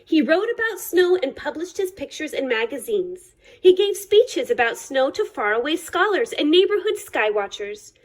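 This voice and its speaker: High-pitched, very animated woman reading a storybook. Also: normal speed. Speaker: reader of a children's picture book, library staff. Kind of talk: expressive read-aloud storytelling for children.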